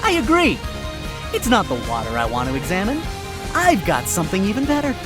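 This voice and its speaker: A man's voice: high-pitched, huge pitch swings, a little fast, over music, pitched above the performer's own voice. A man voicing a cocky, sly male fox defense attorney with theatrical courtroom flourishes in a fan story reading. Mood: smug.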